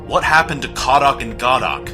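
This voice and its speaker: A man's voice: medium pitch, animated, moderate pace, close to an everyday voice. A man playing a resolute heroic warrior leader in a fantasy audio drama, speaking in a commanding, dramatic way. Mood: firm, urgent. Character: heroic male fire warrior leader, robotic being, resolute. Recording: music behind.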